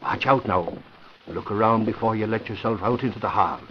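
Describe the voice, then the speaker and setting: An actor playing a blustery, excitable middle-aged male reporter in a vintage radio drama. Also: on the low side, brisk, close to an everyday voice. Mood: conspiratorial. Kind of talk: 1940s radio acting. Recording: muffled vintage radio recording with faint hiss.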